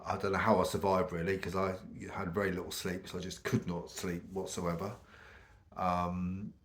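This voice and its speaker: A middle-aged British man with a croaky voice from a cold, chatting casually to camera in a rambling way with many ums. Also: sits low, little pitch movement, moderate pace. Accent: British English. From United Kingdom. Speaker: vlogger.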